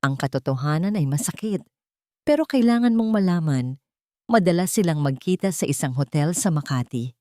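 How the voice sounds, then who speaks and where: A woman with a Filipino accent voicing a loyal, protective household nanny in a drama story, soft, hushed and earnest. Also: low voice, expressive, moderate pace, dry recording, studio quality. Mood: grave confiding.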